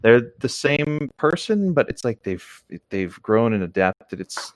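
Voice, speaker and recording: A man's voice: medium pitch, big pitch swings, unhurried, dry recording. A man reading a story aloud as a co-host, casual, with reflective, easygoing asides. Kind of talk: casual story narration with commentary.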